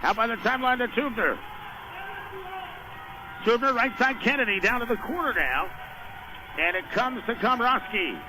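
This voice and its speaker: A man's voice: very high voice, animated, moderate pace, long pauses. A man doing live radio play-by-play of a basketball game in clipped, urgent phrases. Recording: noisy radio broadcast of a game.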